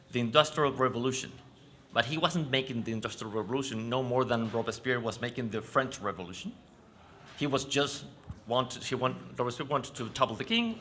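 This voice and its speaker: A man with a Chilean Spanish accent giving a conference presentation, explaining in a halting way and correcting himself. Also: mid-range voice, sharp tone, a little hiss or hum. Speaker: panel speaker. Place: conference venue stage.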